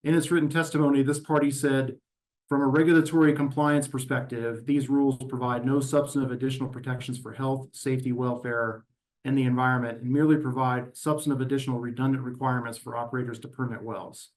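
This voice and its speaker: A man speaking as an advocacy representative, delivering prepared testimony at a regulatory hearing in a measured, methodical and formal way. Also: mid-range voice, flat delivery, a little mellow, rough recording. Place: virtual meeting.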